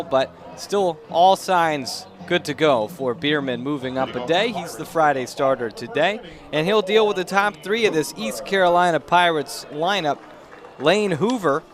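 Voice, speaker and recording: Young man calling baseball, slightly high voice. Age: young adult. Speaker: play-by-play announcer. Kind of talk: live baseball play-by-play commentary. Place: outdoor ballpark during a live game. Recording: noisy outdoor ballpark ambience.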